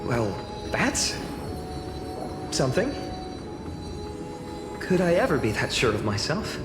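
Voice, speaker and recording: Earnest young hero-dreamer in a fantasy game, unsure, slow talker, big echoey hall with music behind. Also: male voice, on the high side, wildly swooping pitch, exaggerated voice. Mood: incredulous. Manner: hesitant, wondering. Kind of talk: dubbed fantasy game voice acting.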